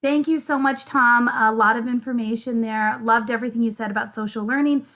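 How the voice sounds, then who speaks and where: Young woman hosting a webinar q&a, friendly and chatty, kinda high voice. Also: fairly even intonation, brisk, fairly bright tone. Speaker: event host, moderator. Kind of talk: moderating a virtual Q&A. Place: office, indoors. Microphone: video call.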